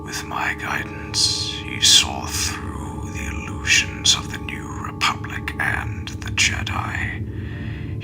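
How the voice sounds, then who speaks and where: Creepy old alien overlord, whispery and slow, super gravelly, comic dub with music behind. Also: male voice, extremely low pitch, exaggerated voice. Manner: slow, menacing, deliberate. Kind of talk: fan comic dub acting.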